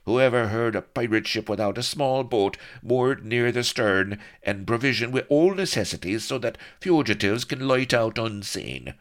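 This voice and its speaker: A man's voice: mid-range voice, animated, normal speed, hardly any pauses, pitched above the performer's own voice, close to an everyday voice. A man voicing an exasperated, roguish colonel who blurts out oaths and complaints. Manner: swearing, exasperated outbursts.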